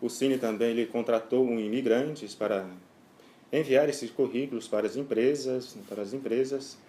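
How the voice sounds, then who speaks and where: A man's voice: mid-range voice, steady tone, moderate pace, dark tone. A man in an interview giving a plain-spoken, somewhat repetitive explanation.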